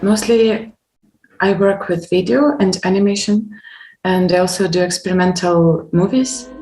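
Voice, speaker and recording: A woman, speaking formally and deliberately as a filmmaker in a documentary interview. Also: medium pitch, warm tone, low-quality recording. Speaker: video artist and filmmaker. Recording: clear music behind voice.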